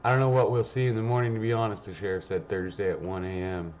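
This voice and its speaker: A man's voice: lowish voice, little pitch movement, fast talker, a little mellow, lo-fi sound, a little hiss or hum. A man hosting a livestream, rambling casually and reading news aloud in a blunt, sweary, offhand way. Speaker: livestream host.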